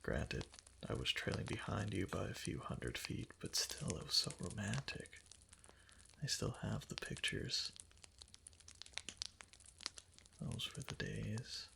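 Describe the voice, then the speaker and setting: An obsessively devoted, possessive kitsune husband, acted by a man for a romantic ASMR roleplay. The voice is low and heavily gravelly, slipping often into breathy whispers, with soft, clingy pillow-talk phrasing. It sits close on the mic with audible noise around it. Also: animated, a little slow, heavily acted. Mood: adoring, wistful. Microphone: close ASMR microphone.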